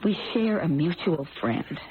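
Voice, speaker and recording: An actress playing a mild, lovelorn woman turned jealous avenger, speaking earnestly and deliberately in radio drama style. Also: fairly low pitch, expressive. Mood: accusing. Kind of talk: old-time radio drama acting. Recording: noisy, tinny old radio recording.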